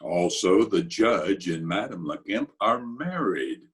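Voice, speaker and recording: Male actor as New York tough guy, low pitch, blunt, commanding, formal gangster slang, radio drama. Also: lively intonation, slow. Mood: matter-of-fact. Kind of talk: old-time radio drama acting.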